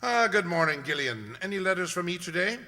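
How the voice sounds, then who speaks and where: A man playing a composed, analytical gentleman detective, measured and polite, in an amateur radio drama. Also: high voice, sing-song, full character voice. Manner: measured, polite, dryly authoritative. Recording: long hall-like reverb.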